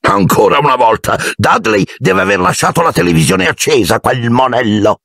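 A man's voice: medium pitch, animated, normal speed, vocal fry, a bit bright, no room sound, clean studio sound, voice pushed up, full character voice. A man voicing a bullying, irritable middle-aged uncle in a storybook, growling threats through clenched teeth. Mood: grumbling.

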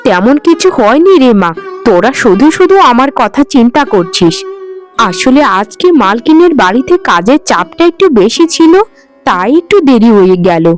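A woman's voice: fairly high pitch, big pitch swings, normal speed, faint music behind. A careworn, plaintive cartoon mother. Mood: weary, reassuring. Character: poor, careworn, tender mother who works as a maid. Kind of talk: animated Bengali folk-tale cartoon acting.

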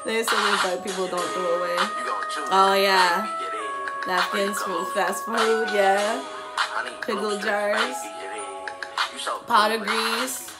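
A woman's voice: medium pitch, fairly even intonation, slow, pauses often, over loud music. A young woman with a nasal, congested voice from the flu, giggling often as she reacts to clips from her home. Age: young adult. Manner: giggly, laughs often between remarks. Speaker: YouTube reaction host. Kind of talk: casual reaction commentary. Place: small room at home, indoors.

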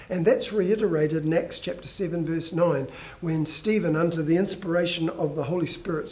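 An older man, a pastor, preaching a measured, earnest sermon on a church stage through a headset mic. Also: on the high side, a little mellow. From New Zealand.

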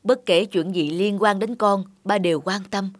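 A woman voicing a gentle, repentant older father in an animated drama, pleading softly and earnestly. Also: medium pitch, moderate pace, no room sound, studio quality, lightly acted.

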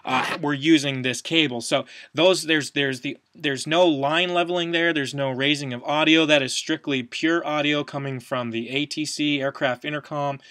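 Bright-voiced man giving a how-to. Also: on the high side, fairly even intonation, moderate pace, hardly any pauses, dry recording. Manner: plain-spoken, explanatory, step-by-step. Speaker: podcast host, product demonstrator. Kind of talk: casual instructional video demonstration. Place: small wood-panelled room at home, indoors.

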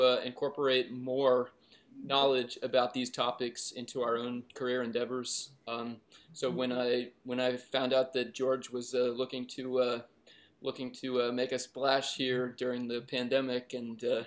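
A man who helps organize the group, speaking casually with many pauses and fillers over a video call. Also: mid-range voice, completely flat delivery. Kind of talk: casual chat.

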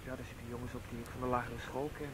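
A man playing a calm, careful, polite seventeen-year-old schoolboy in a radio drama. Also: medium pitch, racing, lightly acted. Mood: polite, guarded. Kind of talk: Dutch radio drama acting. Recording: noisy vintage radio play recording.

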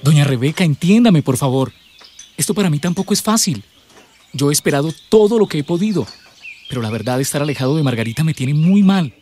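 An actor playing an earnest, worried young boyfriend in a radio drama. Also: male voice, a little high, expressive, a little fast, mellow, soft background music, natural voice. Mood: pleading. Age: young adult.

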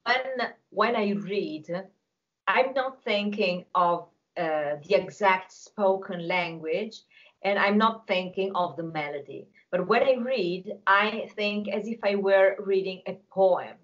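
A woman's voice: medium pitch, slow pace. Italian-accented woman on a muffled call. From Italy. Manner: thoughtful, explains carefully with some hesitation. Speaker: singing teacher. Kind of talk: casual video call discussion. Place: home music room with drums. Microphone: video call. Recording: dull lo-fi call audio.

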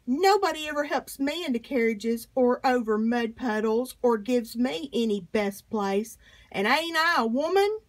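A woman's voice: fairly high pitch, expressive, unhurried. A woman reading a storybook speech as a proud, indignant woman orator who escaped slavery, emphatic and declamatory.

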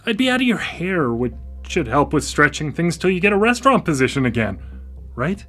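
High, swooping voice of a hesitant brother. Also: male voice, very animated, fairly quick, a bit bright, soft background music. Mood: nervous, tentative. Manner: hesitant, stammering starts. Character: dependent adult brother, hesitant, defensive, eager for independence. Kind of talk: naturalistic live radio drama acting.

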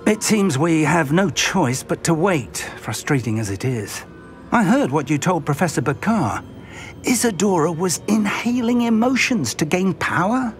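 An actor playing an older wizard ally who reacts with indignation and plans next steps. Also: male voice, a little high, very animated, a little slow, soft background music. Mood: concerned.

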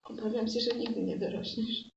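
A woman playing an evasive teenage older sister, speaking quietly and haltingly with gentle condescension in an improvised comedy. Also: fairly high pitch, steady tone, creaky, pitched below the performer's own voice. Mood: patronizing. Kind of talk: improvised comedy audio play on stage. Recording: muffled distant echoey recording, loud noise.

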